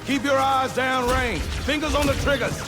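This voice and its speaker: Loud blustery gung-ho marine sergeant, voice high and all over the place, big echoing hall. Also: male voice, steady tone, normal speed, over loud music. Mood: scolding, steadying. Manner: barking, blustery, theatrical. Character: tough, swaggering male marine sergeant, gung-ho, barking. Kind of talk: video game cutscene acting.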